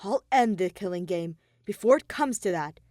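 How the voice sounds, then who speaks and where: A woman's voice: mid-range voice, lively intonation, normal speed, mellow, no echo, studio quality, lightly acted. A woman voicing an earnest, anxious young male detective in an audio story, wary and easily flustered. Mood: firm. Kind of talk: fan fiction audio story character voice.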